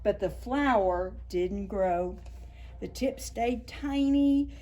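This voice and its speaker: Slow-paced woman reading to preschoolers. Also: mid-range voice. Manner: expressive, deliberate, stretching and stressing key words. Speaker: children's librarian, storytime host. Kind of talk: read-aloud storytelling for preschoolers.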